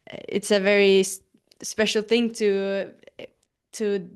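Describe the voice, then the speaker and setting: A woman's voice: mid-range voice, level tone, slow, clear, resonant tone. A woman with a Swedish accent, a native Swedish speaker, giving hesitant interview answers and searching for English words. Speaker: actress interviewee.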